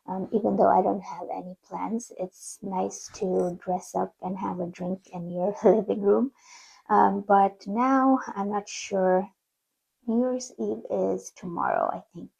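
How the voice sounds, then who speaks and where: A woman in her thirties narrating her sewing vlog in a chatty, self-deprecating way, her voice still croaky from the flu. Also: mid-range voice, mellow, low-quality recording. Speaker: sewing vlogger.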